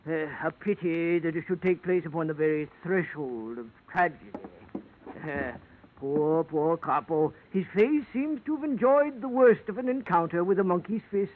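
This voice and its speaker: Male actor: high-pitched, shifty salvage dealer, florid mock courtesy, vintage radio drama, muffled recording. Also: animated, moderate pace, lightly acted. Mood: affable. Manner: florid, mock-polite, chuckling, orotund phrasing. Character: courtly, shifty ship-salvage man in a sloppy suit. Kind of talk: 1940s radio detective drama acting. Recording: dull, band-limited old radio recording.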